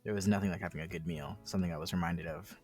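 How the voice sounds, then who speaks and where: Low-voiced man casually reading a visual novel. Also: steady tone, racing, soft background music. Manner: informal, profane, interjecting. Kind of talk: casual read-aloud with side commentary.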